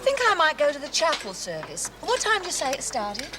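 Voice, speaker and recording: A woman's voice: sits high, huge pitch swings, fairly quick, a crowd in the background. An actress playing a prim, proper female inmate, rueful about her hangover. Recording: hiss on old TV audio.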